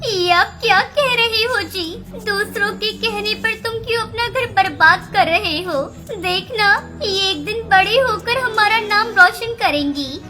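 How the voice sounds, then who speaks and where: An anxious high mother bird. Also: a little slow, hardly any pauses, bright, forceful tone, exaggerated voice. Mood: pleading. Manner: pleading, anxious. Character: worried gentle mother sparrow, soft-spoken. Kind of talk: Hindi cartoon voice acting. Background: background music.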